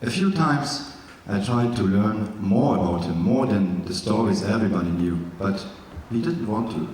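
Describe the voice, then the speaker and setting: A man from East Germany with a German accent, reading from his memoir in careful, slightly halting English with jokey asides. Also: on the low side, a little mellow, slight background noise. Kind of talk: live literary reading. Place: large event hall. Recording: echoey hall from far off.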